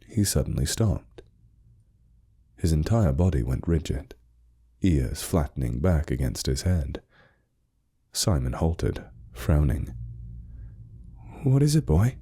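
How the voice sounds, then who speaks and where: Very deep-voiced male horror narrator. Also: mellow. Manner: measured, suspenseful storytelling. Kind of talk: scripted narration of a spooky tale.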